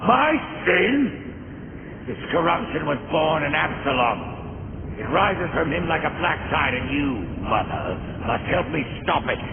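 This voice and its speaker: A man's voice: a little high, sing-song, measured pace, echoey room, long reverb tail. An actor playing Death, a grim male horseman who speaks in cold, terse, clipped lines. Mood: accusing. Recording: lo-fi tinny audio with loud noise.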